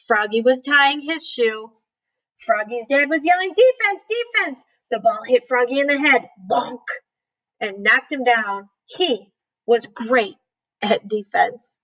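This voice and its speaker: A woman with a high voice reads a picture book to young children like a teacher at story time. She goes slowly and puts emphasis on the sound words. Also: a bit bright, poor audio. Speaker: read-aloud storyteller, teacher.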